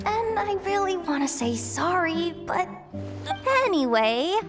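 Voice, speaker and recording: A woman's voice: very high-pitched, lively intonation, slow, heavily acted. A woman voicing an awkward, easily flustered youngster in a video game, blustery and hesitant. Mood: flustered grumbling. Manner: blustery, self-conscious, hesitant mumbling to herself. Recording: game music playing behind. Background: game music.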